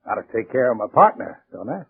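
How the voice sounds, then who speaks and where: An actor playing a scheming gold prospector with glib, breezy false concern, in 1940s radio drama style. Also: male voice, medium pitch, very animated, fast talker, creaky. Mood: falsely solicitous. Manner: glib, dismissive, casual persuasion. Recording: vintage radio, narrow tinny band.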